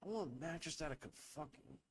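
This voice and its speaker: A young man rambles through a loose comedy podcast chat, swearing freely and riffing on whatever comes to mind. His pitch swings all over the place, jumping high when he gets worked up and dropping low for mock-serious asides. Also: expressive, fast talker, breathy edge. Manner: profane, riffing, playful bits with voices. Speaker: podcast host.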